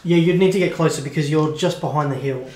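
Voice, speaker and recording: A man's voice: a little high, fast talker, darkish tone. A man running a casual tabletop game as dungeon master, swearing freely as he explains the rules. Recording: echoey room, faint noise.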